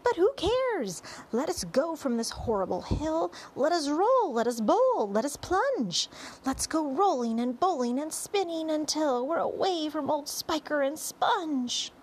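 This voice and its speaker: A woman's voice: high voice, very animated, a little slow, voice pushed up. A woman voicing an exuberant, boastful male centipede who calls out gleeful verse in a storybook. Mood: gleeful, reckless. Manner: shouting, exuberant, singsong verse.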